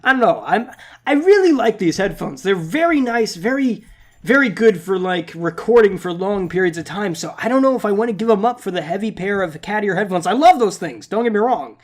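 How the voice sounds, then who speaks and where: A man's voice: high voice, expressive, brisk. Congested young man rambling playfully. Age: young adult. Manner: rambling, playful, self-deprecating. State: congested, slightly scratchy and nasal. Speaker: gaming channel host. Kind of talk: casual channel update vlog.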